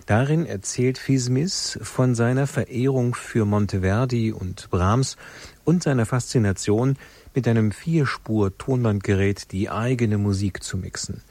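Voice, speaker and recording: A man's voice: mid-range voice, unhurried, darkish tone, no room sound. A man reading a scripted introduction to a radio play as a broadcast announcer. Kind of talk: scripted narration, programme introduction.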